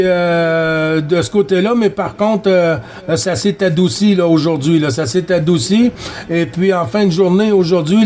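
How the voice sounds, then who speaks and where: A man's voice: high voice, darkish tone. A man with a Quebec French accent, a CB radio operator chatting casually and repeating himself in a friendly, folksy way. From Quebec, Canada. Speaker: amateur CB radio operator running contacts.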